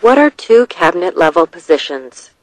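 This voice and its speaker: A narrator reading scripted test questions and short answers in a measured, deliberate way. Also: mid-range voice, big pitch swings, dry recording, rough recording.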